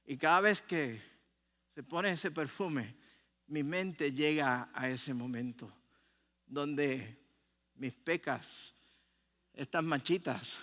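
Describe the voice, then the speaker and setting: A man with a Puerto Rican accent preaching as a pastor, telling stories in deliberate phrases with pauses, in a conversational way. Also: on the high side, a bit bright. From Puerto Rico. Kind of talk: sermon. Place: large room, speaking to a congregation. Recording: reverberant hall sound.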